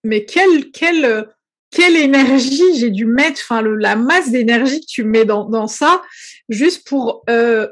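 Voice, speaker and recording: Reflective Algerian-born woman, higher voice. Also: expressive, unhurried. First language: not French. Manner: reflective, filler-heavy, often says "tu vois". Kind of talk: casual conversation.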